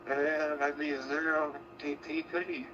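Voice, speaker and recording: Male ham operator, sharp bright tone, halting casual tech chat, muffled lo-fi radio audio. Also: a little high, level tone. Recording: dull, noisy digital radio transmission.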